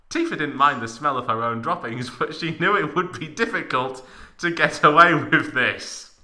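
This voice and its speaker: A man's voice: medium pitch, pushed and bright, roomy sound. A young man giving a theatrical, mock-dramatic reading of a story as a comic narrator, with exaggerated emphasis. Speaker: podcast narrator.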